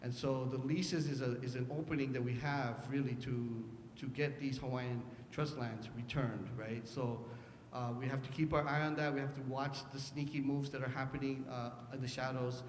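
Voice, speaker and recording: A man speaking as a panelist in an earnest, halting way with fillers, recorded in a conference hall. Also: steady tone. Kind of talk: panel talk. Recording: echoey hall with faint rumble.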